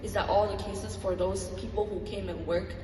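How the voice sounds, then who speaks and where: A woman's voice: mid-range voice, steady tone, moderate pace, darkish tone, slight background noise. Earnest young woman in a hall. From Malaysia. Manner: earnest, reflective, with light laughs. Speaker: student speaker. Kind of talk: personal talk to an audience. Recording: echoey hall from far off.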